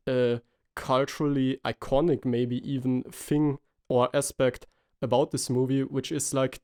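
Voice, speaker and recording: A man's voice: mid-range voice, dark tone, smooth. Austrian man hosting a film podcast. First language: German. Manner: rambling with many fillers and restarts. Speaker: podcast host. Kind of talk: casual film discussion.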